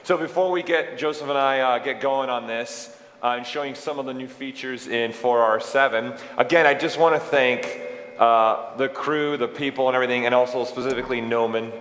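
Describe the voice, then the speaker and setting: A man's voice: medium pitch, a little fast, fairly bright tone. A man hosting a live conference, chatty and joking as he makes announcements to the crowd. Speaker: event host. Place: large event hall with audience. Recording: echoey hall, faint room noise.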